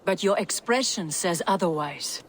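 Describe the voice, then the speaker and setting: Woman playing a proud Norse sorceress, low-voiced. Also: lively intonation, normal speed, a little hiss or hum, lightly acted. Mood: watchful. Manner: pointed, assertive, questioning. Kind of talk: cinematic video game acting.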